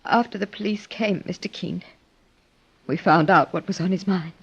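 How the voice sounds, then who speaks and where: An actress playing an earnest, grieving young wife in old-time radio drama style, speaking haltingly with emotion. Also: female voice, fairly low pitch, slightly rough. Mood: confiding. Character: grieving young wife of a wealthy older man. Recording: dull, lo-fi old radio recording.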